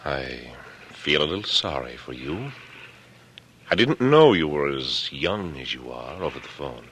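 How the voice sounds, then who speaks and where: Very deep-voiced, menacing older killer. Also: male voice, big pitch swings, fairly bright tone, full character voice. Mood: gloating menace. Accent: American. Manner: taunting, deliberate, condescending. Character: coolly menacing older killer, smug, patronizing and calculating. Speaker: radio actor. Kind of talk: 1940s radio drama acting. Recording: noisy vintage radio broadcast recording.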